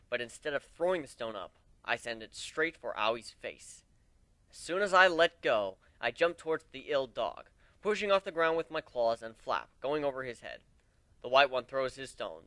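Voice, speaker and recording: A man's voice: mid-range voice, pushed and bright, no room sound. A man giving an amateur dramatic reading of a first-person fantasy adventure story.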